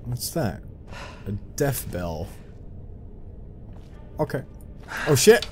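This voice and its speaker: This is a young Dutch man with a Dutch accent narrating a dungeon crawl at his own slow pace. His voice sits at an ordinary middle pitch, and he mutters half-thoughts and little self-mocking jokes as he plays. Faint noise hums under the recording. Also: sing-song. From Netherlands. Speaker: gameplay commentator. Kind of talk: casual let's play commentary.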